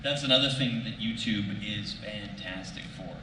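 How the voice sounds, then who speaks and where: A man speaking casually as a panelist, animated and quick to jump in. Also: on the low side. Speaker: convention panelist. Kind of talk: casual unscripted panel banter. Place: convention panel room. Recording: echoey noisy hall from far off.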